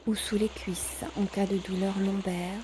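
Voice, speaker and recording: A woman teaching yoga in a calm guided instruction style, measured, pausing between short phrases. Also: mid-range voice, background noise.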